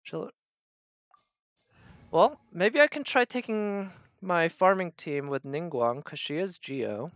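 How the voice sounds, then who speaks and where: A man's voice: sits high, lively intonation, slow, fairly bright tone, dry recording, lo-fi sound. A man doing casual live game commentary, thinking aloud and trailing off as he goes. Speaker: game streamer.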